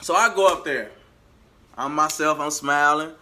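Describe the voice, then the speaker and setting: A man telling a story in a casual vlog, animated and slangy, acting out bits of conversation as he goes. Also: a little high, normal speed. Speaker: vlogger and amateur singer.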